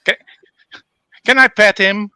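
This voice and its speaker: A man talking casually as a player in a tabletop roleplaying game, halting as he thinks aloud. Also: sits high, steady tone, sharp tone, clear, resonant tone, dry recording. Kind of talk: casual chat during game play.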